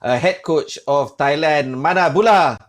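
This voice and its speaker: A man's voice: fairly high pitch, a little slow. Chatty male host of an online panel. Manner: chatty, joking, says mate a lot. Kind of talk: online panel show hosting.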